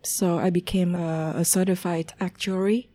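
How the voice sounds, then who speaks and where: Mellow woman telling her story. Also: lowish voice, level tone. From Vietnam. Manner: unhurried, pausing to find words, with fillers. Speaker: guest.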